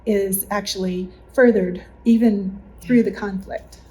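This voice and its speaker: A woman with a dark, mellow voice reflects in a group interview. She picks her words thoughtfully, pausing and restarting. There is slight noise behind her. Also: medium pitch, fairly even intonation, rough recording. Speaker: church member recalling past challenges. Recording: faint background room noise.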